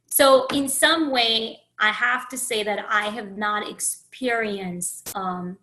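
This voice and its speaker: A woman who grew up in Haiti, hosting her own podcast, talking through her thoughts in an earnest, searching way with many restarts and pauses. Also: a little high, bright, forceful tone, rough recording. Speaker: podcast host and coach. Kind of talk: reflective solo podcast monologue.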